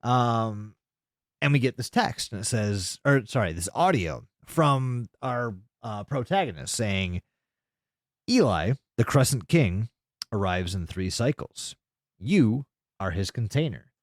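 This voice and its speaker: A man's voice: on the low side, lively intonation, slow, no echo, studio quality. A man co-hosting a podcast, casually retelling a story in a rambling, sweary way. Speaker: podcast co-host.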